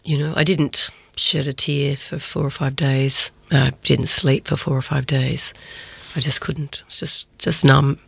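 Flat, raspy woman recalling quietly. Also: extremely low pitch, unhurried, no room sound. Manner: flat, subdued, reflective. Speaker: journalist guest. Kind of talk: interview answers.

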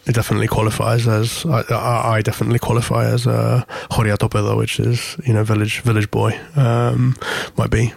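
A man's voice: lowish voice, vocal fry, no echo. A man in his thirties from Corfu with a lightly Greek-tinged English accent, giving reflective interview answers and often searching for words. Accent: English with Greek influence. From Greece (Corfu), English mother. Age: 30s. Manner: thoughtful, searching for words, many restarts and fillers. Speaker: interview guest, singer.

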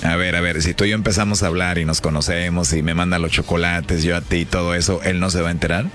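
A man playing a smooth-talking, flirty telemarketer with a chocolate promotion, in casual sales patter on a radio prank call. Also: low voice, little pitch movement, fast, loud music behind the voice, natural voice. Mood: coaxing, flirty.

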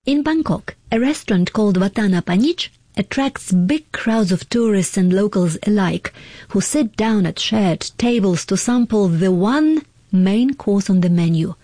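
A female radio presenter with a soft, mellow tone and a slightly husky edge. She introduces features and puts questions to guests in the measured manner of a magazine programme host. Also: mid-range voice, no echo. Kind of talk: scripted radio introduction and interview.

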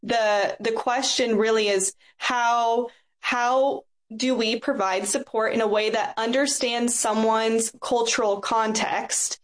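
A woman hosting a radio interview, measured and affirming as she reflects back and poses questions. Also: a little high, fairly even intonation. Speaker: radio show host.

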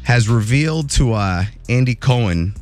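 Radio host guy joking thru the news, pitch jumping all over, music faint behind. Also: normal speed. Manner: animated, jokey, voice jumps high for emphasis. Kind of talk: morning radio banter.

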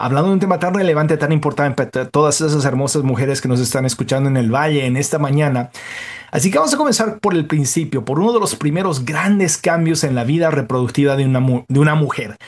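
A man with a slightly high-pitched voice hosts a radio interview program. He is a doctor who presents in a courteous, formal manner. His delivery is articulate, like a prepared introduction. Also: animated, brisk.

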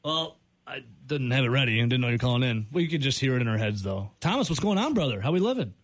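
Fast, teasing male radio host. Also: mid-range voice, big pitch swings. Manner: quick, teasing banter with callers. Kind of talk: morning sports radio talk.

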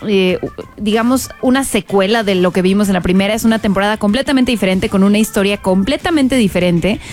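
A woman's voice: medium pitch, fairly quick, soft background music. A woman hosting a casual podcast, reacting expressively and steering the talk to recommendations. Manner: expressive reactions, leads the segments. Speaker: podcast host. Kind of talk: casual chat, presenting recommendations.

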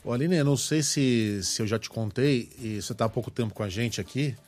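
Fast-talking chatty man. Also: medium pitch, a little mellow, soft background music. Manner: quick, chatty, playful. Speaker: radio news anchor. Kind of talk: casual on-air banter.

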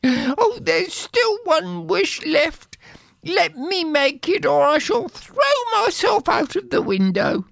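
A poor peasant wife with a high, swooping voice that climbs into shrill moans, as a woman storyteller puts her on. She talks slowly and theatrically, lingering on her complaints, and the sound is dry and close. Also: big pitch swings, creaky, no echo, exaggerated voice. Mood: wailing. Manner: shrill and melodramatic, moaning. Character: poor, wailing peasant wife, middle-aged, fretful and dramatic.

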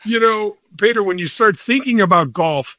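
Bright-voiced host, friendly and rambling. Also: male voice, high-pitched, normal speed, no room sound. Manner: friendly, rambling questions with restarts. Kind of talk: casual interview chat.

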